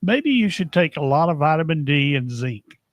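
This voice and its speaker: A man's voice: fairly high pitch, sing-song, no room sound. A man, co-hosting a wrestling talk show and tossing out teasing asides. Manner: joking, teasing, quick asides. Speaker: co-host and wrestling commentator. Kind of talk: casual chat.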